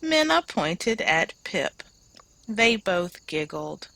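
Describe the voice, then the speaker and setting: A woman teacher reading a children's picture book aloud in an expressive, deliberate way, leaning on key words. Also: on the low side, gravelly, no room sound, rough recording. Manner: deliberate, dramatized phrasing with emphasized words.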